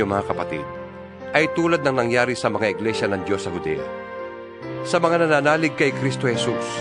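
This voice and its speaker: A man with a Filipino accent giving a solemn, earnest dramatized reading of scripture as a scripted narrator. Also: mid-range voice.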